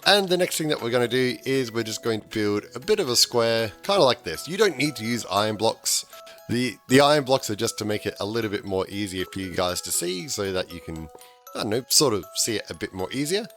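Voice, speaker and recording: A man, slightly hoarse because he is losing his voice, talking through a game-building tutorial in a chatty way with frequent restarts. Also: medium pitch, lively intonation, a little fast, a bit bright, soft background music. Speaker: gaming tutorial host. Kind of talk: casual game tutorial voice-over.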